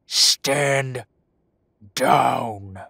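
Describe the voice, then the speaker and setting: A man plays a grim werewolf detective in an audio drama. He is protective and menacing, with a gravelly, dry-recorded voice and a very drawn-out delivery. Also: medium pitch, sharp tone, no echo, heavily acted. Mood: stern, controlled. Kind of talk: amateur audio roleplay drama.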